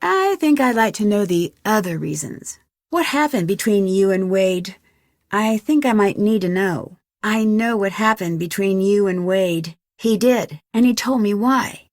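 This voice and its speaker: An actress with an American accent playing an inquisitive, determined young amateur detective in a video game, speaking clearly and questioningly. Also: female voice, mid-range voice, animated, unhurried, no echo, studio quality. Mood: friendly, coaxing. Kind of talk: scripted video game dialogue.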